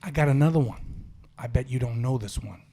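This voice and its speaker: A man's voice: medium pitch, brisk, mellow. A man in his forties hosting a sports podcast, chatting casually in an opinionated, slangy, storytelling way. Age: 40s. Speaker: podcast host.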